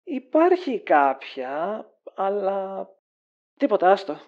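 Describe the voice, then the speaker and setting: A woman's voice: mid-range voice, big pitch swings. A woman playing a lovesick, self-deprecating young man in an audio drama, casual and guarded with a wistful manner. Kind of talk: conversational audio drama acting. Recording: dull lo-fi audio.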